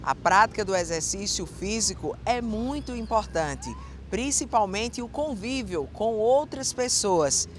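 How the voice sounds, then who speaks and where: A man narrating a TV news feature in a measured voice-over. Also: sits high, animated, a bit bright, a little hiss or hum. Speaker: TV reporter.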